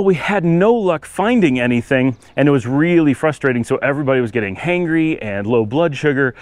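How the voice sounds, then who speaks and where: A man with a medium-pitched voice narrates a travel vlog as he walks outside. He talks casually and rambles, thinking aloud as he goes. The sound is dry, with no echo. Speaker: travel vlogger. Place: outdoors, walking on residential city streets.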